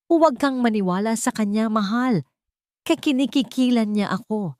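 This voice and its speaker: A woman's voice: a little high, normal speed, a little mellow, dry recording, studio quality, pitched above the performer's own voice, close to an everyday voice. A woman voicing a scheming, tearful fiancée in an audio love drama, melodramatic and accusing. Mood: accusing, pleading.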